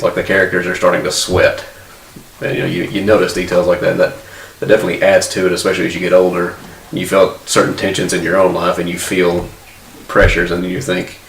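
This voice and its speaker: A man in his forties, a podcast co-host, chatting at a table with others in a measured, reflective way. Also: sits low, vocal fry, fairly bright tone, far from the mic. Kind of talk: casual roundtable chat. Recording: echoey room, away from the mic, faint noise.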